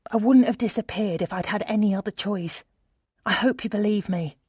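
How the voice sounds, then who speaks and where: A woman's voice: mid-range voice, moderate pace, a little mellow, slightly rough, no room sound, studio quality, lightly acted. A woman voicing an anxious, apologetic runaway wife in an audiobook. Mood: earnest, confessing.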